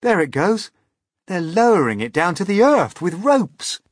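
A man's voice: high voice, big pitch swings, measured pace, no room sound, clean studio sound, voice pushed up, full character voice. A man voicing an earnest young boy who talks in urgent whispers. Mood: alarmed.

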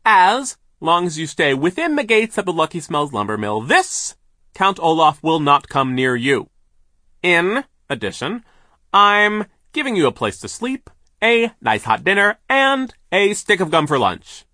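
Domineering storybook boss, high-pitched, loud, pompous, drawn-out emphatic words, dismissive, very dry recording. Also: male voice, big pitch swings, no echo, full character voice. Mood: brusque. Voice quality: forceful, drawn-out emphatic delivery. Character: loud, domineering, stingy male lumber mill boss. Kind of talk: audiobook character voice.

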